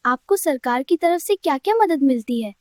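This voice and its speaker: A woman's voice: high voice, animated, moderate pace, darkish tone, no echo, studio quality. A woman playing a polite, curious village schoolchild in an educational cartoon, asking questions carefully. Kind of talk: scripted children's educational cartoon dialogue.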